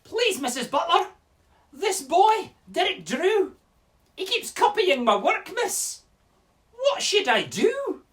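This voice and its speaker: A Scottish schoolchild telling tales in a poem. The voice is shrill and swooping, with long, plaintive, pleading complaints. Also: sing-song, slow pace, fairly bright tone, far from the mic, full character voice. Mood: whiny, pleading. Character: whiny, pleading Scottish schoolchild tattling to teacher.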